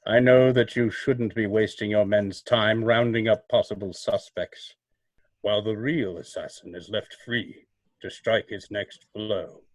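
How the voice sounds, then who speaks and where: An actor playing a mysterious, self-assured vigilante in an old-time radio mystery, speaking in a formal, portentous, deliberate way. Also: male voice, fairly low pitch, steady tone, no room sound, low-quality recording. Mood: stern, warning.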